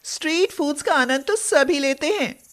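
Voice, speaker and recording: A woman's voice: sits high, lively intonation, moderate pace, a bit bright, voice pushed up. A woman voicing an easygoing, fun-loving young rich friend with a breezy, casual manner. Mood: teasing.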